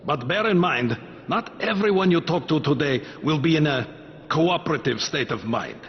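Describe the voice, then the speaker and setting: An actor playing a calm, wry henchman who hands out jobs in a video game, measured and sardonic. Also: a little high. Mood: smooth, menacing. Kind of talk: cinematic video game acting. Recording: muffled game capture, faint noise.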